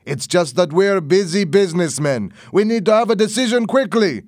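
A man's voice: high voice, expressive, normal speed, a little mellow, dry recording, clean studio sound, pitched above the performer's own voice. A man voicing a pushy foreign gangster businessman with a strong accent and brusque broken English.

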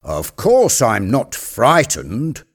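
A man's voice: mid-range voice, huge pitch swings, drawn-out words, full character voice. A man voicing a reluctant, wary male servant, hesitant and protesting, in a storybook tale.

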